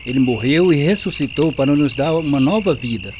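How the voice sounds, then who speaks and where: A man's voice: a little high, expressive, a little fast, noisy background, lightly acted. A man playing an earnest, firm preacher in a radio drama, exhorting solemnly. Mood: earnest exhortation. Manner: solemn, exhorting, scripture-quoting. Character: earnest, firm male evangelical preacher counselling a girl.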